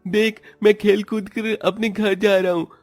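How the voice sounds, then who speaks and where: A man's voice: high voice, little pitch movement, unhurried, darkish tone, no echo, soft background music. A man voicing a boastful rich village boy with a mocking, gloating manner in a cartoon story.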